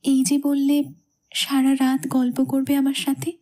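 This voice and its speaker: A woman's voice: high voice, completely flat delivery, measured pace, dark tone. A woman playing a devoted, playful young woman in love, teasing and affectionate, in a romantic audio drama.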